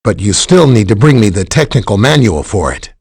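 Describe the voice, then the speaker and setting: An actor playing a helpful, earnest scientist superhero in a video game, explaining technical matters in a friendly way. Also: male voice, on the low side, big pitch swings, fairly quick, gravelly, a little mellow, husky, full character voice. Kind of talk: scripted game dialogue, explaining and briefing.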